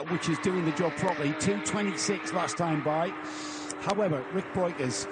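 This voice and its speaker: A man calls a motor race live in a fairly high voice. He describes the action conversationally, with loud vehicle noise running behind him. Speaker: lead motorsport commentator.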